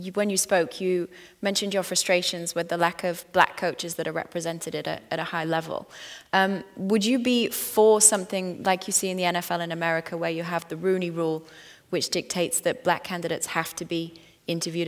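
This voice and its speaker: Low-voiced woman moderating a panel. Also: steady tone, a little fast.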